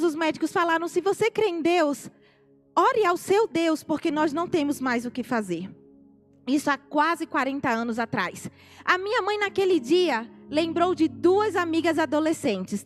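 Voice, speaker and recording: High-voiced Northeastern Brazilian woman preaching. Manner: animated, addresses the congregation directly. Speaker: missionary speaker. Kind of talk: testimony sermon. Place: church sanctuary. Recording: live church recording, faint music.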